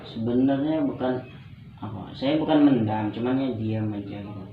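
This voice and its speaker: A man's voice: mid-range voice, moderate pace. A young man with a Medan accent from Indonesia, speaking hesitantly as he talks over personal problems in a counselling conversation. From Medan, North Sumatra, Indonesia. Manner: hesitant, repeats phrases. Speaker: client in a practice counselling session. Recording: very echoey, muffled, faint background noise.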